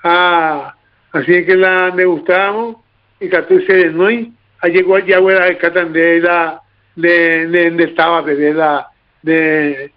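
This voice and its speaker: A man's voice: fairly high pitch, flat delivery, slow, clear, resonant tone. A man with a Paraguayan accent hosting an informal radio talk show, repeating himself as he goes. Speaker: radio host. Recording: narrow, tinny radio broadcast sound.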